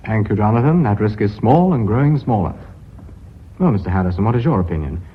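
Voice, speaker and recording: A man's voice: on the low side, animated, fast talker, husky. An actor playing a formal, authoritative British company chairman in a radio drama, courteous and businesslike. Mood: stern, courteous. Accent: British English. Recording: muffled old radio recording.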